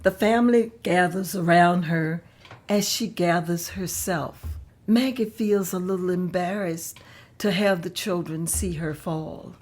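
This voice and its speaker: A woman narrating a table read in a plain, measured way. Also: lowish voice, a little mellow.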